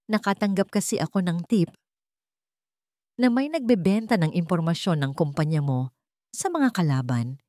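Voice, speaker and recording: A woman's voice: fairly low pitch, animated, normal speed, long pauses, mellow, no room sound, clean studio sound, close to an everyday voice. A woman voicing a scheming rich female rival with sugary false concern in an audio love drama. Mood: falsely soothing.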